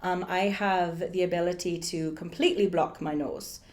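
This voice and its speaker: A woman with a slightly low voice speaks in a put-on Irish accent. She coaches informally, demonstrating and explaining how the accent works, often halting to think aloud. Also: fairly even intonation, darkish tone. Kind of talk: informal spoken lesson on accents.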